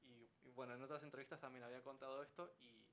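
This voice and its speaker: A man's voice: medium pitch, steady tone, fast talker, fairly bright tone, a little hiss or hum. A man from Argentina, a band musician, telling a story casually in a radio interview. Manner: storytelling with laughing asides. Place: radio studio.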